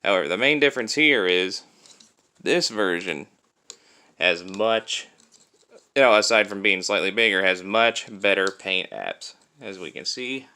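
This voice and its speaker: A man's voice: on the low side, unhurried, pushed and bright, clear, resonant tone. A man talking informally through a hands-on toy review, explaining things step by step. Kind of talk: casual hands-on product review.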